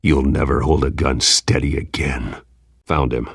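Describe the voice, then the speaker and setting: An actor playing a grim, menacing masked vigilante in a video game, terse and controlled, in a gravelly growl. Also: male voice, extremely low pitch, fairly even intonation, a little slow, dry recording, clean studio sound, full character voice. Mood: grim, watchful.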